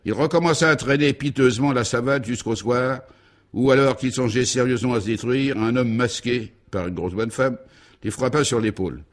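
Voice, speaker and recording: A man's voice: medium pitch, normal speed. A man narrating a scripted absurd comic radio serial in a mock-solemn, grandiloquent and emphatic way.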